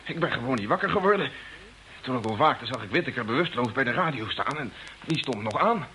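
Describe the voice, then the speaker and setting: A man's voice: mid-range voice, lively intonation, fast talker, pushed and bright. A man acting a deferential, defensive spaceship crewman with an apologetic manner in an old radio play. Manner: apologetic, uneasy. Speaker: radio drama actor. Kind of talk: mid-century Dutch radio play acting. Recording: old radio recording, faint hiss.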